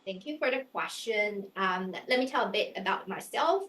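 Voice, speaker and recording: A woman's voice: medium pitch, lively intonation, moderate pace, a bit bright. Young woman, echoey room. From China. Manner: earnest, searching, filler-heavy phrasing. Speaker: pageant contestant. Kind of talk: mock interview answers.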